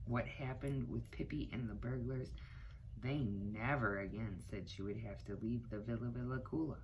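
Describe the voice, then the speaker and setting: A middle-aged woman reading a children's picture book aloud, pausing for asides to her young listeners. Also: very deep voice, darkish tone, a little hiss or hum, rough recording. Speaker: children's story time reader, teaching assistant. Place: small cluttered room indoors.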